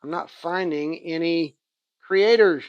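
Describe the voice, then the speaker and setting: A man's voice: fairly high pitch, slow, clear, resonant tone, no echo, dull audio. A man with an Oklahoma accent, rambling and thinking aloud as he casually shows off and reviews what he got. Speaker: comics channel host. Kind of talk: casual show-and-tell haul review.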